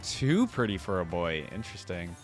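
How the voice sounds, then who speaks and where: Lively man reacting casually. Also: low voice, normal speed, over music. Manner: informal, chatty, easily amused. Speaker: reaction video host.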